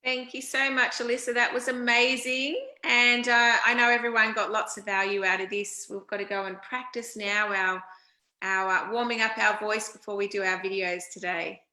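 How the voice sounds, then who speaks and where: Bright croaky-voiced woman host. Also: medium pitch, flat delivery, normal speed, roomy sound. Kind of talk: hosting an online session.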